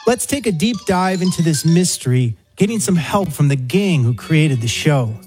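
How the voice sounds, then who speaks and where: A man narrates a documentary from a script. His voice sits fairly high, and music plays softly behind him. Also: animated, normal speed.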